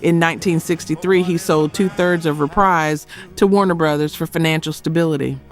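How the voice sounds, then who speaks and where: A man delivering scripted documentary narration in emphatic short phrases with dramatic pauses. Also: a little high, steady tone. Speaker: narrator of a music history video. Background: background music.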